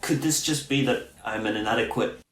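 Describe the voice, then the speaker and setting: A man's voice: medium pitch, fairly quick, fairly bright tone. Earnest man explaining in a roomy space. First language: English. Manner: earnest, thoughtful, with frequent restarts. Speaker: seminary teacher, guest. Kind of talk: conversational explanation. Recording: roomy echo.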